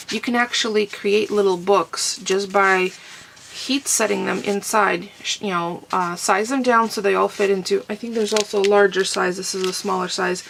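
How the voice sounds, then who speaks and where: A middle-aged woman with a stuffy, congested and husky voice, chatting casually to camera about crafting and clearing her throat often. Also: medium pitch, noisy background. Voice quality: husky, fading voice. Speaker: crafting vlogger answering viewer comments. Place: home craft room desk. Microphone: camera on a tripod nearby.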